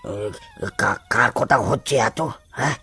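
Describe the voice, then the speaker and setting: A man playing a frail, grumbling but caring old village grandfather in a cartoon story, with halting, questioning speech and a creaky voice. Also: medium pitch, bright, forceful tone, heavily acted. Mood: puzzled, worried. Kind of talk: Bengali cartoon story acting.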